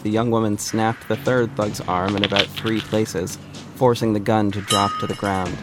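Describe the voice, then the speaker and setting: Deep-ish creaky guy narrating an audio drama, deliberate storytelling, music behind him.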